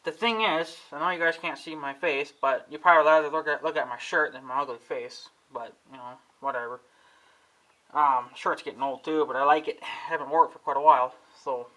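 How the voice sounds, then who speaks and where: A man's voice: on the high side, brisk, sharp tone, low-quality recording. A man vlogging casually at home, rambling and swearing often as he complains about his equipment. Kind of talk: casual talking to camera about gear.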